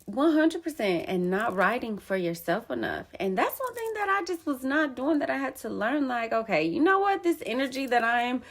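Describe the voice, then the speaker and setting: Animated woman with a gravelly voice. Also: fairly high pitch, moderate pace, hardly any pauses. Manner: emphatic, casual slang, animated. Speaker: poet and storyteller. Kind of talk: candid personal storytelling.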